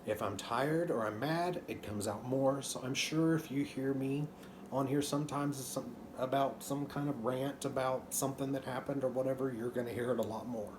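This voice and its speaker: A man in his thirties from Texas with a faint Texan accent and a slightly hoarse voice, chatting casually to camera at home while knitting, full of ums and restarts. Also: medium pitch, fairly even intonation, normal speed, background noise. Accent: Texan, mostly masked. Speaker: knitting channel host. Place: living room couch at home.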